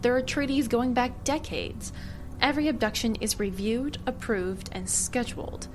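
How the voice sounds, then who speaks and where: A woman's voice: on the high side, moderate pace, faint music behind, natural voice. A woman playing a cool, shrewd government agent in an audio drama, with a controlled, sardonic, evasive manner. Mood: matter-of-fact, persuasive. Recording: clear ambient noise behind dialogue.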